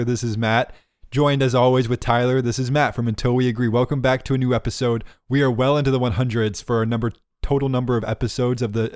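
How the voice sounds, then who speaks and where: A man's voice: mid-range voice, no echo. Teasing male podcast co-host, dry sound. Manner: teasing, laughs often, trips over words. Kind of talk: casual banter.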